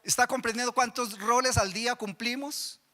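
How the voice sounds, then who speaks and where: A man's voice: extremely high pitch, fairly even intonation, normal speed, pushed and bright. A man with a Colombian accent preaching a sermon as pastor, often asking the congregation questions. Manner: asks the congregation repeated questions. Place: church hall. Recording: church hall reverb.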